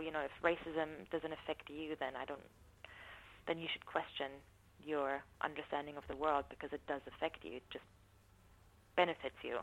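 A woman's voice: low voice, fairly bright tone, low-quality recording. Thoughtful woman answering interview questions. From not from New Zealand. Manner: thoughtful, measured, often pauses to rephrase. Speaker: interviewee, university researcher. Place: New Zealand.

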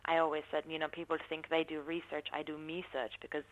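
A woman giving thoughtful, measured interview answers, often pausing to rephrase her points. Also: low voice, little pitch movement, muffled recording. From not from New Zealand. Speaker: interviewee, university researcher. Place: New Zealand.

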